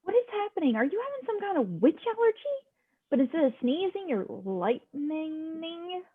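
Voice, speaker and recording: A woman's voice: extremely high pitch, sing-song, normal speed, narrow, tinny audio. A woman playing an earnest, eager teenage girl on a magical quest in a script read. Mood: eager, puzzled.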